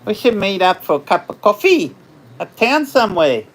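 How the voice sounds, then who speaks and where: An Australian man hosting a casual livestream, chatting with viewers in a rambling way, his voice slightly hoarse after hours of talking. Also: high-pitched, sing-song, moderate pace. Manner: rambling, chatty, laughs often. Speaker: livestream host. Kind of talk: casual live chat with viewers.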